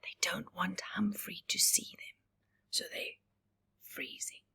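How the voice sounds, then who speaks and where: Slow, husky storybook-reading woman. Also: fairly low pitch, huge pitch swings, bright, forceful tone. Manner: slow rhyming read-aloud with chatty asides to young listeners; drops to breathy whispers. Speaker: storybook reader. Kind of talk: children's story time reading.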